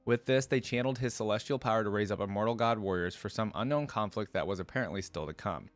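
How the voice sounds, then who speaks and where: Male reaction host, lower register, dry recording, casual asides between read-aloud passages. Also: fast, dry recording, faint music behind. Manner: informal, rambling asides. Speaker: host of a game lore reaction channel. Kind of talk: casual reaction chat mixed with reading aloud.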